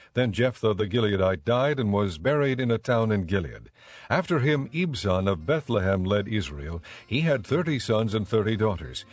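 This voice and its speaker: A man giving scripted, measured and solemn narration for a dramatized audio Bible. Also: fairly low pitch. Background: background music.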